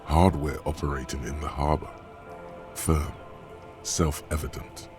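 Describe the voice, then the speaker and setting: Detective's inner narrator, bottomless deep voice, brooding, terse, cryptic, video game, clear music behind. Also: male voice, steady tone. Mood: brooding, observant. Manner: solemn, deliberate, terse.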